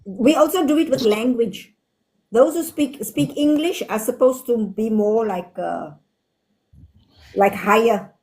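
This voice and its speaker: A woman's voice: on the high side, a little slow, darkish tone. South African woman, Afrikaans first language. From Johannesburg, South Africa. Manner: brief agreeing replies. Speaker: host. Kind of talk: casual live chat.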